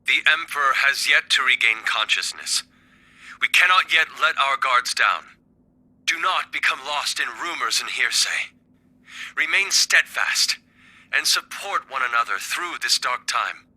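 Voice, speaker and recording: An actor playing a solemn princely statesman giving a measured, stately formal address in a video game. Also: medium pitch, expressive, pushed and bright, exaggerated voice, low-quality recording. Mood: solemn, resolute.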